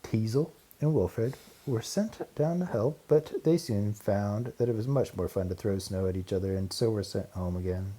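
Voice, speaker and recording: A man narrating a children's storybook aloud as an audiobook, with deliberate, dramatic pauses and emphasis. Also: lowish voice, dark tone, breathy edge, rough recording.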